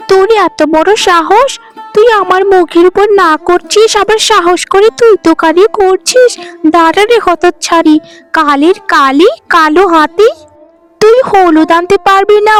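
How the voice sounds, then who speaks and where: Extremely high, bullying young stepsister voiced by a woman. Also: normal speed, soft background music. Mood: furious, threatening. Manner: shrill, threatening, scolding. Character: bossy, furious young stepsister, spoiled and bullying. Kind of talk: melodramatic cartoon voice acting.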